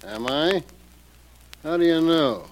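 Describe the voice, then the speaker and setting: An actor playing a brisk, wry male theater stage manager in an old radio drama. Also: fairly high pitch, lively intonation, rough recording. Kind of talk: 1940s radio acting.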